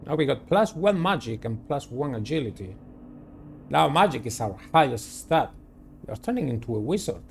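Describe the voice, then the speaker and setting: A man hosting a game playthrough, reading the story aloud in a dramatic, deliberate way and adding his own commentary. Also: medium pitch, sing-song, gravelly, slight background noise. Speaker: gaming video host. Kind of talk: reading visual novel narration aloud with personal commentary.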